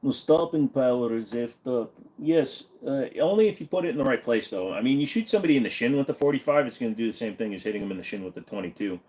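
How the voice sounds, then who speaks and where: A man's voice: medium pitch, lively intonation, fast, darkish tone. A man hosting a casual livestream Q&A, answering viewer questions in an offhand, blunt, joking way with some swearing.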